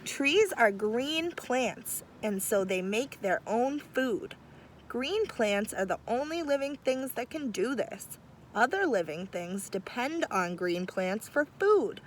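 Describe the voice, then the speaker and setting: A woman's voice: a little high, slight background noise. A woman teacher reading a picture book aloud to children, with chatty asides. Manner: slow, warm storytime delivery with lively asides. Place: outdoors near trees.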